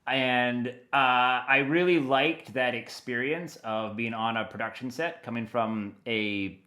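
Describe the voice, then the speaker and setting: A man's voice: medium pitch, slow pace. Casual middle-aged American man, chatty storyteller. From Maine, United States. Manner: informal, animated storytelling with slang and quoted thoughts. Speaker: interview guest, audio dramatist. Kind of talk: casual chat.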